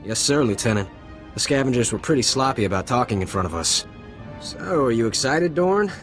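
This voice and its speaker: A man's voice: medium pitch, expressive, fairly quick, fairly bright tone, close to an everyday voice. An actor playing a cocky young male ensign who jokes sarcastically and stammers when scolded, in a game cutscene. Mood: wry. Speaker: rescued junior officer. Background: faint music.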